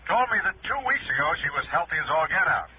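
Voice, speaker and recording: A man's voice: a little high, big pitch swings, quick pace. An actor playing a fussy, gossipy insurance man in a 1960s radio drama, chatty and slightly hesitant. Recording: faintly noisy, tinny telephone-like old radio.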